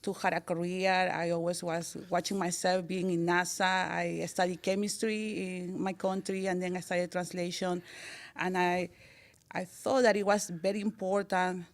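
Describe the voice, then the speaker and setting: Gravelly-voiced Peruvian woman, polite guest. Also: on the low side, flat delivery, normal speed. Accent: Peruvian Spanish. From Peru. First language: Spanish. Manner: polite, careful, searching for words. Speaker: guest, city communications coordinator. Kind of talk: interview answers.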